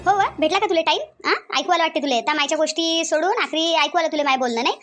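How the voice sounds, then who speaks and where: A woman plays a sharp-tongued cartoon mother-in-law from a village, scolding in a very high, shrill voice with a Varhadi Marathi accent. She rattles off her complaints at a breakneck pace and never lets up. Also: faint music behind. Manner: nagging, loud, rapid scolding. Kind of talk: cartoon voice acting.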